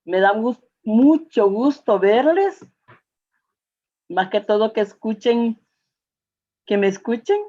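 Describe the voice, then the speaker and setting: An older woman with a Salvadoran Spanish accent gives a personal testimony to an audience, speaking earnestly and haltingly, with searching pauses and repetitions. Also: a little high, animated, warm tone, dull audio.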